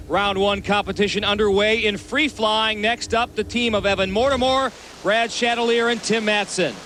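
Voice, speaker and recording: A man's voice: high voice, sharp tone. A man announcing play-by-play for a sports broadcast in a dramatic commentary style. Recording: clear background noise, outdoor ambience.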